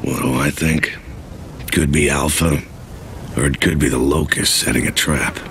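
A man's voice: a bass voice, steady tone, unhurried, fairly bright tone, a little hiss or hum, full character voice. A man playing a brooding, battle-hardened soldier in a video game cutscene, terse and sardonic. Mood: grim, wary.

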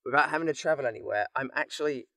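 A male guest chats casually in a bright, sharp voice. He rambles and breaks into his own sentences. The sound is very dry, from a small home booth. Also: medium pitch, very fast, dry recording. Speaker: voice actor, podcast guest. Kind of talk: casual chat. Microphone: microphone in a home booth.

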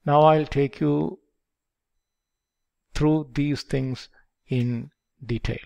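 An older man explaining project life cycles step by step, in a measured lecture delivery on a headset microphone. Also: on the high side, steady tone, creaky, a little mellow. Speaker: project management instructor. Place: dim indoor room. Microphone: headset mic.

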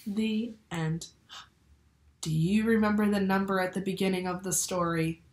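A young adult woman reading a picture book aloud to children in a deliberate, instructive way. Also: mid-range voice, steady tone. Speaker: teacher or librarian reading to children. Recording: echoey room.

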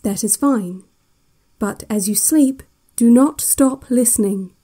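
An actress playing a calm, controlling relaxation-cassette instructor in an audio drama, measured, soothing and deadpan, quietly sinister. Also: female voice, on the high side. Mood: calm, instructive.

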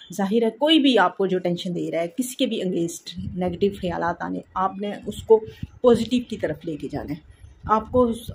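A woman's voice: on the high side, slight background noise, low-quality recording. A woman in her thirties with a sore throat, hosting a home cooking vlog and chatting to viewers about life and faith. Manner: warm religious blessings, chatty reflective. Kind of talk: casual advice chat to viewers. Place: home kitchen, indoors.

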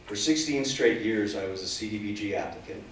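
A middle-aged man giving public comment at a hearing, forceful and emphatic, standing and gesturing as he speaks. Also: fairly low pitch, a little fast, slight background noise. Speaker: legal aid director giving public comment. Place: council chamber. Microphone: standing away from desk mic. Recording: echoey chamber, far from mic.

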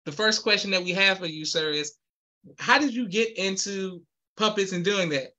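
A man's voice: sits high, moderate pace, pushed and bright. A man hosting a live podcast over a video call, formal and deferential, often saying "yes, sir.". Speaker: podcast host. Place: indoor room with wall of posters. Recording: dull lo-fi video-call audio.

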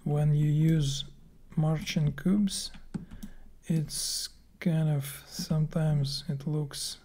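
Guy doing a screen tutorial, slow and halting, soft husky voice that drops to whispers. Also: on the high side, little pitch movement. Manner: slow, halting, thinking aloud with many fillers. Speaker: game plugin developer. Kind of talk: screen-recorded software tutorial.

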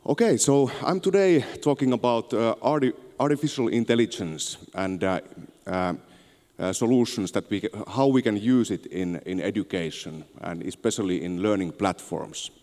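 A man in his thirties with a Finnish accent, giving an informal, somewhat halting conference presentation on a handheld microphone. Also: lowish voice, darkish tone. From Finland. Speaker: conference speaker, tech entrepreneur. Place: on stage in a large auditorium. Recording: echoey large hall, long reverb.

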